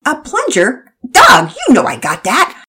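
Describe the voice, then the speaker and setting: A woman's voice: sits high, wildly swooping pitch, a little slow, sharp tone, breathy edge, voice pushed up, exaggerated voice. A woman voicing a helpful young neighbor man who talks in slangy, eager bursts.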